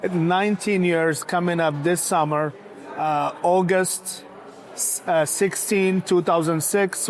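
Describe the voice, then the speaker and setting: A man talks about his business in a relaxed interview. His voice sits a bit high. He stops often to search for words and fills the gaps with "uh" and "um". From Morocco. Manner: hesitant, many filler sounds. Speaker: restaurant founder, guest. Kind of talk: casual interview.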